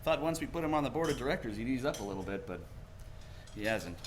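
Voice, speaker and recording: A man plays a tired, kindly older father in a 1940s radio drama. His mid-pitched voice rises and falls expressively with gentle earnestness, over noisy clinking of dishes. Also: lively intonation, quick pace, lightly acted. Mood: weary. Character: weary, kindly older small-town father. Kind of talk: 1940s radio play acting.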